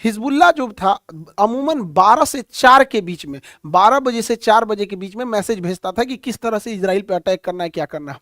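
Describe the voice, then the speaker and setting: A man's voice: sits high, a little fast, hardly any pauses, a bit bright, dry recording. Guy teaching current affairs like hes telling a story, repeats stuff for emphasis, really dry sound. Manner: colloquial, storytelling, repeats key phrases for emphasis. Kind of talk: explanatory current-affairs lecture.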